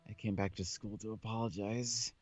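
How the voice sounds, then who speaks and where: A man voicing a remorseful, frustrated high school boy in an earnest, pleading way for a visual novel. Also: fairly even intonation, moderate pace, a little mellow, soft background music, natural voice. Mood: apologetic.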